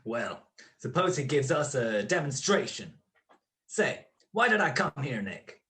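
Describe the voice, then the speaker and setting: A man's voice: mid-range voice, very animated, unhurried, fairly bright tone. Man acting a suave, gloating gangster boss. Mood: amused taunting. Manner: mock-courteous, taunting, chuckling. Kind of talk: 1940s radio crime drama acting.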